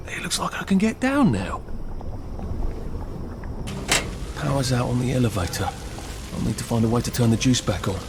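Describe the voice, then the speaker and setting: A man's voice: mid-range voice, creaky, background noise. A man with an English accent playing an anxious, polite, dryly witty video game hero who muses to himself hesitantly. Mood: wry, uneasy. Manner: hesitant, understated, wry. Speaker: player character in a video game. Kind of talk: video game voice acting, musing aloud to himself.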